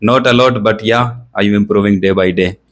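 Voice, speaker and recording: Young Indian male learner, Indian English accent, halting, filler-heavy delivery, lower register. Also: fairly even intonation. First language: Hindi or another Indian language. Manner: hesitant, searching for words, many fillers. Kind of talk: casual practice conversation.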